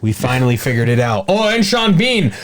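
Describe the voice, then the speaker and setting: A man's voice: medium pitch, big pitch swings, a little fast, faint music behind. A man co-hosting a podcast, chatting casually with wry, joking interjections. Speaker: podcast co-host. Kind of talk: casual chat.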